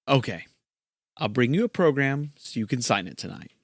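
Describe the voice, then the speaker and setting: Patient, teasing boyfriend. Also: male voice, medium pitch, sing-song, moderate pace, no room sound, clean studio sound. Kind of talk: audio drama dialogue.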